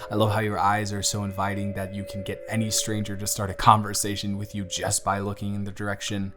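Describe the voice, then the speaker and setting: Man acting as a caring young boyfriend, lowish voice, gentle, reassuring, affectionate, intimate audio roleplay, music behind. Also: completely flat delivery, fairly quick, lightly acted. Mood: sincere, affectionate. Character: tender, reassuring young boyfriend comforting his partner. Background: instrumental music.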